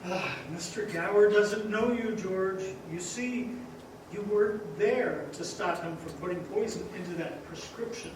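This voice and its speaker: A man playing a gentle, innocent, very old little angel in a stage radio play, earnest and patient. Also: sits high, a little slow. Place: live stage in a large echoing hall. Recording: echoey hall from far off, noisy.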